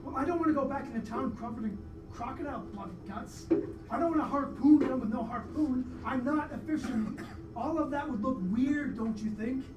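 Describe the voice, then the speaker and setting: Echoey, high-voiced brash husband played by a woman. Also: brisk, close to an everyday voice. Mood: glib, defensive. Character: brash, dismissive crocodile-shooting man, expectant father, Leonard. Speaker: actor in a live radio play. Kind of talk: live radio play acting. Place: live performance venue, recorded from off. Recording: distant, echoey live stage recording, loud noise.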